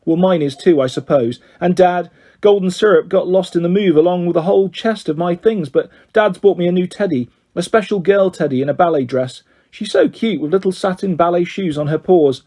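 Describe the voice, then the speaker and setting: A man's voice: high voice, a little fast, mellow. A man voicing a sweet, sad young girl with a gushing, childlike, tender manner in a children's story. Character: young girl, sweet sad best friend who moved away.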